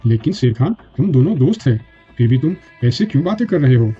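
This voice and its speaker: A man's voice: mid-range voice, sing-song, a little fast, warm tone, breathy edge. A man voicing a friendly, teasing male bear in a children's cartoon, in a dull recording with music. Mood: apologetic, hurt.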